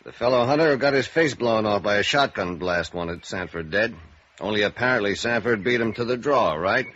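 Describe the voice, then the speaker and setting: An actor playing a skeptical, practical American police sergeant in 1950s radio noir, blunt and terse. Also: male voice, lowish voice, animated, brisk, a bit bright. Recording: dull, faintly noisy vintage radio recording.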